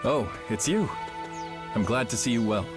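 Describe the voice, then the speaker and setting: A man's voice: medium pitch, expressive, moderate pace, over music, lightly acted. A man voicing an earnest volunteer-army leader. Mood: friendly. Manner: friendly and sincere. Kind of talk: game cutscene voice acting.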